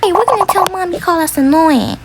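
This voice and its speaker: Whiny, excitable small child in a family role play, acted by a woman, very high voice, clearly noisy recording. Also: brisk. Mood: bickering. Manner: whiny, pleading, bickering. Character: whiny, excitable, squabbling little kid.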